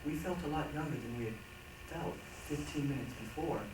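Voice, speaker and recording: A man's voice: on the high side, fairly quick, lightly acted. A man performing a reader's theatre monologue as a reflective, earnest man recounting his memories. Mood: wry reminiscing. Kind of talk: staged dramatic monologue reading. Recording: very echoey, noisy hall, distant mic.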